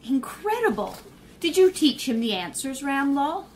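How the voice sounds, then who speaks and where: An actress playing a poised young woman sleuth in a 1930s-style radio drama, polite and quick-witted, then indignant. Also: high voice, big pitch swings, heavy background noise, exaggerated voice. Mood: charming.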